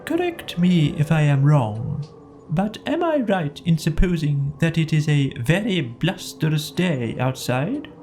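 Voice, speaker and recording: A man's voice: on the high side, very animated, unhurried, darkish tone, slight background noise, pitched above the performer's own voice. A solemn, pompous owl from a children's tale, put on by a man. The voice is lifted well above a normal male register, with wordy, formal phrasing. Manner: pompous, long-winded, formal.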